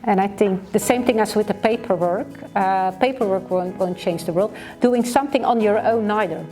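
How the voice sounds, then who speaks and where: A woman's voice: medium pitch, moderate pace, dark tone, faint music behind. A woman from Belgium, a professor, speaking thoughtfully with some hesitation in a documentary interview.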